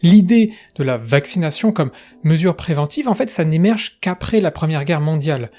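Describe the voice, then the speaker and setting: A man's voice: on the high side, lively intonation, moderate pace, dark tone, faint music behind. Chatty man explaining history. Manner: chatty, with asides and fillers. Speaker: educational video host. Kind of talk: casual explanatory narration.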